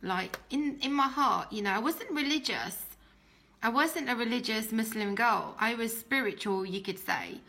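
A woman's voice: a little high, expressive, moderate pace, gravelly, pushed and bright. A woman giving an earnest, meandering personal faith testimony on her podcast. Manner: earnest, meandering, many fillers. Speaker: podcast host.

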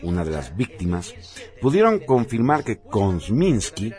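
A man's voice: on the low side, very animated, measured pace, a little mellow. A man presenting a scripted radio newscast as one of its anchors.

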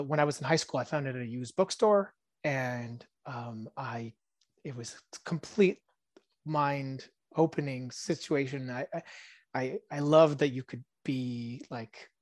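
A man in his thirties, born in Israel and a native Hebrew speaker, talking as a podcast guest in a musing, soft-spoken way that often trails off into quiet asides. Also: mid-range voice, no room sound. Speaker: podcast guest, tabletop game designer. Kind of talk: casual interview chat.

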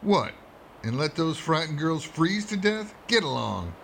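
A man's voice: on the high side, sing-song, unhurried, gravelly, a little hiss or hum, full character voice. A man playing a stern, urgent small-town doctor in old-time radio horror style, commanding and dramatic. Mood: scornful.

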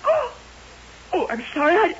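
Scorned, pious wife, hysterical and accusing, wildly varied high delivery, vintage radio drama with dull hissy sound. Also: female voice, huge pitch swings, moderate pace, exaggerated voice. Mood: pleading, tearful. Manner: melodramatic, accusing. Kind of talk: old-time radio drama acting. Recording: muffled, hissy old radio recording.

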